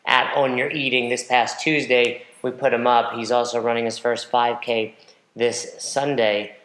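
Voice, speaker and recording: A man's voice: mid-range voice, little pitch movement, unhurried, a bit bright. A man hosting a casual podcast chat as a nutrition coach, direct and joking in a coach-like way. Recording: roomy echo.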